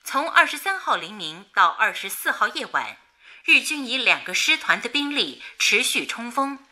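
High-pitched grave wartime newsreader. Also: female voice, sing-song, moderate pace, exaggerated voice. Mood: grave, urgent. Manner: declamatory, grave, emphatic. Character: solemn wartime female radio news announcer. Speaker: radio news announcer. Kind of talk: formal wartime news bulletin in radio drama. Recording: loud music behind.

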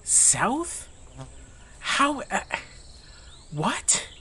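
An actor playing a tough, weary armed chief of a besieged town in an audio drama. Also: male voice, very high voice, big pitch swings, very slow, pushed and bright, slight background noise, heavily acted. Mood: stunned.

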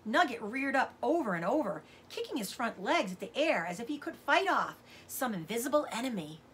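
A middle-aged woman reading a children's novel aloud as a teacher does, with expressive narration. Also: a little high, fairly quick, slight background noise. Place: indoors, room with bookshelves.

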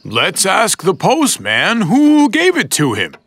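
A man voicing a gentle, thoughtful and slightly pedantic moose in a children's cartoon, speaking in a deliberate, reasoning way. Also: sits high, wildly swooping pitch, heavily acted. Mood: reasonable. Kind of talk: children's animated series voice acting.

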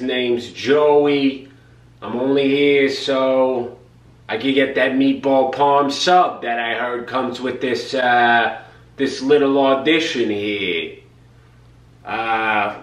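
A man plays a blunt young Italian-American guy, unimpressed by everything but food. He talks at a slow pace with that accent. The room echoes and the mic is a bit away. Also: medium pitch, fairly bright tone. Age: young adult. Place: small home kitchen. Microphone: camera a few feet away. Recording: roomy, distant camera sound, faint hum.

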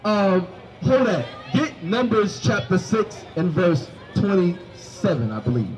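A young man preaching on a city sidewalk into a handheld microphone, commanding and questioning his listeners, often rising to shouts. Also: high voice, animated, measured pace, low-quality recording. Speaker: street preacher. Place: city sidewalk by a food cart.

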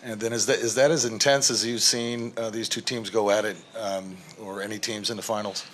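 A man's voice: fairly low pitch, steady tone, brisk, a bit bright, a little hiss or hum, poor audio. A man who is a newspaper reporter, asking a hesitant question at a press conference.

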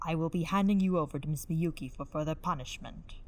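Woman as a low, stern elderly nun. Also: fast talker, lightly acted. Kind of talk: anime fan dub acting.

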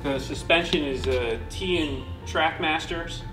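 A man's voice: a little high, measured pace, over music. A man showing his race car in a workshop, talking casually and repeating words as he goes. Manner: repeats words, restarts, enthusiastic about parts. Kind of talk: casual walkaround chat.

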